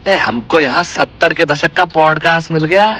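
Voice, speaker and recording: A man playing a chatty, flirty, bumbling comic ghost in an audio drama, excitable and rambling. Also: a little high, moderate pace, breathy edge. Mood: chatty, indignant. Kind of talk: comedy audio drama acting.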